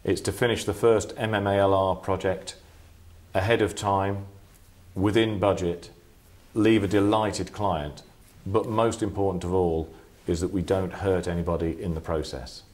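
A man in his forties speaking in a steady, businesslike way in a corporate interview. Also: low voice, normal speed. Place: indoor office with display boards.

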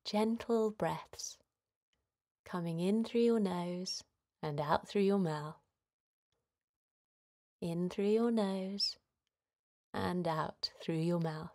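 A woman leading a guided relaxation for children in a gentle, soothing manner, giving simple instructions with pauses. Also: on the low side. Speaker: children's guided meditation host.

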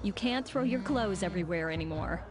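An actress playing a stern, nagging girlfriend, pointed and matter-of-fact, in an animated game cutscene. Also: medium pitch, fairly quick, natural voice. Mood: firm, practical. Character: stern, nagging long-time girlfriend, composed and controlling. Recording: loud music under the dialogue.

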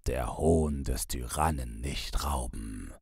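Man acting a grave, low-voiced house steward. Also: slow, gravelly, darkish tone, husky, no echo, clean studio sound, exaggerated voice. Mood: grave warning. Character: horrified, honest male house steward warning his master.

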